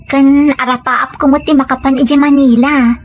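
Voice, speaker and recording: A woman's voice: high voice, level tone, normal speed, a little hiss or hum, close to an everyday voice. A woman with an Ilocano accent playing a determined, loving young daughter in an audio drama. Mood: hopeful. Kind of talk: audio comedy-drama acting.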